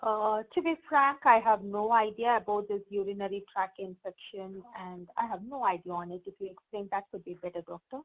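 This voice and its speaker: A woman's voice: mid-range voice, fairly quick, few pauses, natural voice. A woman role-playing an independent 88-year-old patient in an exam practice, speaking hesitantly with many fillers. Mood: curious. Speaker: role-play partner. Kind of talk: exam role-play over the phone. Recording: tinny phone line.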